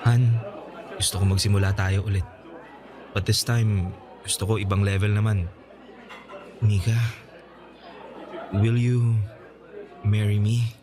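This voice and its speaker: Low-voiced devoted young fiancé. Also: male voice, flat delivery, slow, pauses often. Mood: romantic, earnest. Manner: affectionate, reassuring. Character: devoted, hardworking young male fiancé, sweet and earnest. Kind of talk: Tagalog radio drama acting. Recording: music and crowd behind dialogue.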